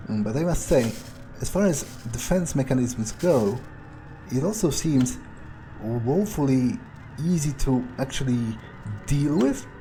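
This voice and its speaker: A man's voice: mid-range voice, lively intonation, measured pace, mellow, a little hiss or hum, low-quality recording. A man doing in-character video game commentary, thinking aloud in a hesitant, musing, sardonic way with casual swearing. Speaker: gaming video creator playing in character.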